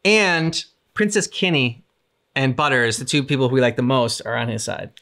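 Casting: man, thirties, creaky voice, relaxed conversational reaction host. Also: mid-range voice, normal speed. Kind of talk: casual chat. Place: living room couch, indoors.

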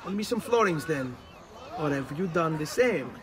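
A man's voice: on the high side, expressive, moderate pace, distant mic, background noise, natural voice. An actor playing a cocky, hot-blooded young Italian nobleman with an Italian accent in a video game. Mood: brash, casual. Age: young adult. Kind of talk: video game cutscene acting.

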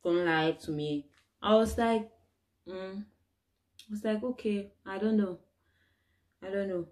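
A young woman from Cameroon telling her own stories to the camera, animated and exclaiming, acting out the people she met. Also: mid-range voice, slow. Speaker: vlogger. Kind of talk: casual personal storytelling to camera.

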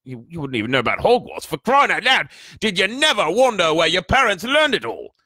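Huge gruff giant played by a male reader: booming, growling, hot-tempered but good-hearted, rustic phrasing, animated and forceful. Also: brisk, no room sound, clean studio sound, exaggerated voice. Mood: outraged, thundering. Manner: blunt, rustic speech, emphatic.

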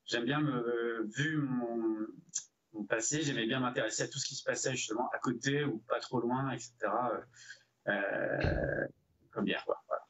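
Husky man on a muffled video call. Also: medium pitch, fairly even intonation, normal speed, a bit bright. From Brittany, France. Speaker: brewery co-founder, interview guest. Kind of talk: casual interview chat. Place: indoor room, video call. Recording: dull, lo-fi video call audio.

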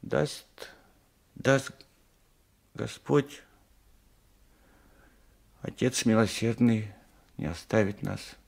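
A slow, creaky old peasant. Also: male voice, fairly low pitch, darkish tone, exaggerated voice. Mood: mournful, resigned. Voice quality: husky, creaky. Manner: slow, heavy, mournful. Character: old peasant husband, pious, wronged, humbly despairing. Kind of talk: 1980s radio drama acting.

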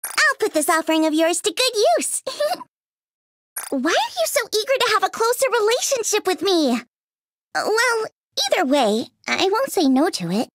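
A woman's voice: very high voice, very animated, normal speed, fairly bright tone, no echo, exaggerated voice. A woman voicing a cocky young female android shield soldier in a game, taunting and teasing with frequent laughs. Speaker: voice actress. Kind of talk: game character voice lines. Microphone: studio mic.

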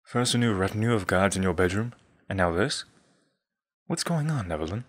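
A man's voice: deep voice, animated, moderate pace. A man playing a weary, conscientious young king in a comic dub, grave and formal. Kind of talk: dramatic comic dub acting.

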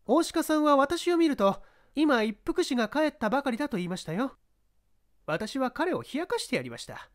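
A man voicing a brazen young woman, a haughty and sharp-tongued scout, in a dramatised audiobook. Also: extremely high pitch, big pitch swings, moderate pace, voice pushed up. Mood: brazen, scornful.

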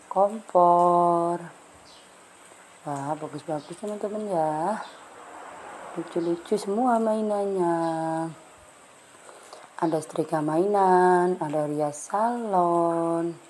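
A woman's voice: on the low side, slow pace, pauses often, a little mellow. Woman showing toys in a noisy market. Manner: enthusiastic, repetitive exclamations addressing friends. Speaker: toy channel vlogger. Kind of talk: toy shop walkthrough vlog. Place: toy stall in a market. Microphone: handheld phone camera.

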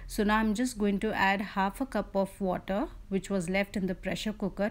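A woman's voice: mid-range voice, a little hiss or hum. A woman with an Indian accent giving casual step-by-step recipe narration as a cooking channel host.

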